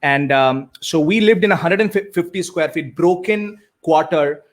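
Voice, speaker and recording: Earnest Indian man, slightly high voice. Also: unhurried. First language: Bengali. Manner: earnest, many fillers and repeated starts. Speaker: guest speaker and coach. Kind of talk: conversational storytelling.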